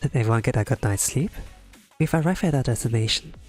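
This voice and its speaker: A man voicing a composed, polite young woman from a game in a measured, formal way, with music behind. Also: medium pitch, animated, darkish tone, lightly acted. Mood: calm, formal. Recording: music under the voice.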